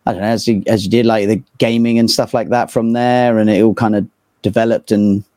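Low-voiced southern English man, casual. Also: little pitch movement, brisk, warm tone, dry recording. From England. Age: 30s. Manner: informal, rambling, hesitant phrasing. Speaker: interview guest, metal band vocalist. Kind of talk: casual chat.

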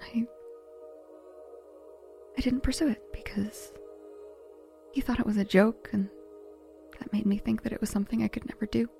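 A woman's voice: fairly high pitch, steady tone, moderate pace, vocal fry, pauses often, mellow, faint music behind. An actress playing a blunt, troubled, searching woman biographer in naturalistic sci-fi audio drama dialogue. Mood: wistful, hurt.

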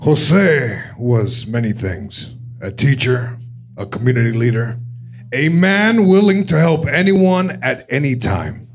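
Man playing a solemn, measured funeral priest. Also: mid-range voice, lively intonation, a little hiss or hum. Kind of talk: script table read.

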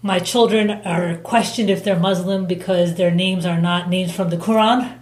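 A woman in her forties answering interview questions thoughtfully, pausing often with ums and uhs. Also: lowish voice, steady tone, a little mellow. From United States. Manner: thoughtful, hesitant, with frequent ums and pauses. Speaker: interviewee, mother of a teen. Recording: echoey room.

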